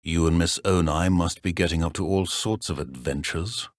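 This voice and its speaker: Guy acting a strict british wizard teacher for a game, really deep, dry formal delivery, no room sound. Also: no room sound, natural voice. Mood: wry. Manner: measured, dry, formal, clipped. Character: stern, dry middle-aged wizard professor, ex-Auror.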